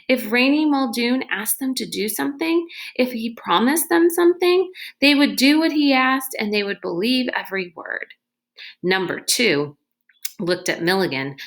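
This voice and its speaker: A woman's voice: medium pitch, a bit bright. A woman narrating a storybook aloud expressively, as a reader does.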